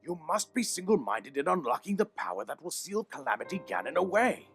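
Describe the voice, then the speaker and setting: A man's voice: a little high, very animated, a little fast, fairly bright tone, soft background music. A man playing a stern, commanding king and disapproving father in a video game, speaking formally and scolding imperiously. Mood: angry, commanding. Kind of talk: dramatic video game voice acting.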